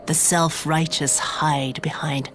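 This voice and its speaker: A bitter young villainess from a game cutscene. She speaks very slowly in a low, breathy voice, mocking and theatrical, over faint music. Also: little pitch movement, fairly bright tone. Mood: sardonic, bitter. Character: bitter, vengeful villainess, coldly sardonic young woman. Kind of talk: dramatic video game acting.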